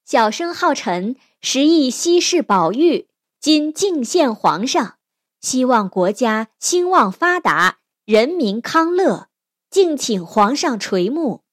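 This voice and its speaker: A woman acts out a humble young scholar from a fairy tale. The voice is raised and lively, formal and reverent, pleading with steadfast sincerity. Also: high voice, takes it slowly, no room sound, clean studio sound, pitched above the performer's own voice, full character voice. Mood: reverent, hopeful.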